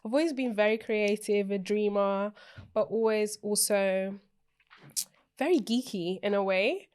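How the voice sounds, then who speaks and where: A woman from Portugal, a guest co-founder, speaking in a thoughtful, measured way. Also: medium pitch, a little mellow, clear, resonant tone. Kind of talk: podcast interview.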